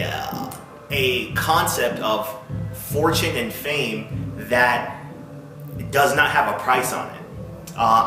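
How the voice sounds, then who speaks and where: A man giving an informal, instructive talk to viewers as a music business owner, often checking in with short questions. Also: fairly high pitch. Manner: instructive, punctuated with check-in questions like "okay?" and "all right?". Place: music studio. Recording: roomy echo, music in background.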